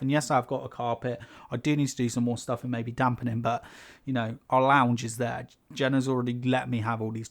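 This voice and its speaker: Man, mid-pitched, sibilant and slightly rough-throated, rambling casual delivery, small home room, overhead condenser mic. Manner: rambling, chatty, many fillers and asides. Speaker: YouTube creator. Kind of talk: casual unscripted talk to camera. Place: small carpeted home room with foam panels. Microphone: pencil condenser on a stand above the speaker.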